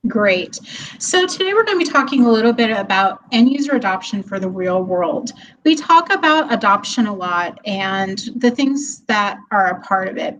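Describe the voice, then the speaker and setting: A woman's voice: medium pitch, low-quality recording. A woman speaking as guest on an online tech meetup, friendly and chatty, laughing easily and using plenty of ums. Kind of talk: webinar presentation. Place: office hallway.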